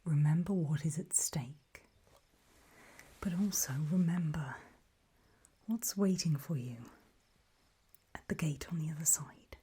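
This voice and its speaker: A woman voicing a calm, ominous gatekeeper in a hushed, breathy ASMR roleplay, speaking mostly in deliberate whispers with pauses. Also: low voice, slight background noise. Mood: grave, hushed. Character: calm, ominous female gatekeeper briefing travelers. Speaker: ASMR performer.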